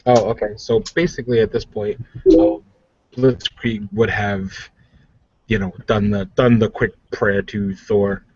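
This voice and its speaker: A man's voice: lowish voice, steady tone, measured pace, darkish tone. A man chatting casually as a player in a tabletop game, with a jokey, slangy way of talking. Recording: muffled lo-fi recording with background hiss.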